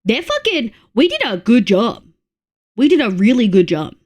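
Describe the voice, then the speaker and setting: A chatty woman streaming a game, her voice thick and stuffy from congestion. She talks in an excitable, rambling way with lots of fillers, sitting a little above the usual pitch for a woman. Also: moderate pace. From Australia. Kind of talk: casual livestream chat while playing.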